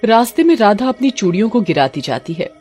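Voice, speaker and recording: Lady narrator telling a cartoon story, normal pitch, bit of music behind. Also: very animated, brisk, darkish tone.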